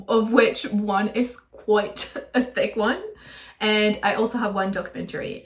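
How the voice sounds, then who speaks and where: A woman talking through her book plans in a friendly, enthusiastic, chatty way, with small laughs now and then. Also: fairly high pitch. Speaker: book vlogger. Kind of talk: casual chatty book video. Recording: echoey room.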